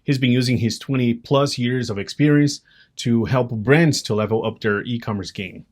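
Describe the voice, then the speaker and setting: A male podcast host with a middle-pitched voice opens the show and greets his guest. He talks politely and stops now and then on small fillers. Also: moderate pace, a little mellow.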